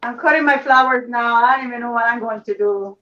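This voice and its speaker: A woman with a Puerto Rican accent and a high, bright, edgy voice, halting and hunting for words in a relaxed group chat. She sounds set back from the mic, with a faint hiss on the video call. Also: distant mic, dull audio. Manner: hesitant, searching for words. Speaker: crafter guest.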